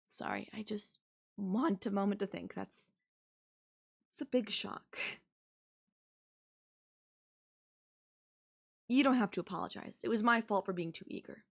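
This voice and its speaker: A woman's voice: medium pitch, normal speed, creaky. A woman playing a manipulative young babysitter who is obsessively in love with her employer, speaking in a conversational, possessive and defensive way in an intimate audio roleplay. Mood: hurt, subdued. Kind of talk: anime-style audio roleplay acting, intimate one-to-one.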